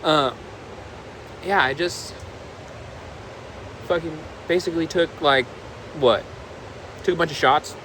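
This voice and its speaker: A man's voice: on the high side, takes it slowly, pauses often, sharp tone, slight background noise. Rambling twenty-year-old man vlogging. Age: 20s. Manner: rambling, repeats himself, swears. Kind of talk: casual vlog talk. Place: outdoors on a balcony.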